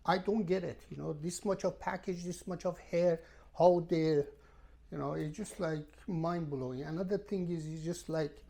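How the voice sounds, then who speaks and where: A woman's voice: lowish voice, normal speed, gravelly, dark tone. A woman who is a non-native English speaker, ranting casually to camera as a travel vlogger in an emphatic, repetitive, exasperated way. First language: not English. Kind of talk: casual rambling rant to camera.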